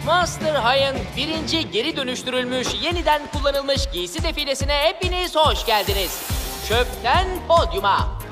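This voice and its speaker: High-pitched fashionable monster girl, cool, showy, cartoon dub, music in background. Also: animated, moderate pace. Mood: showy, upbeat. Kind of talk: animated series dub acting. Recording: music behind the voice.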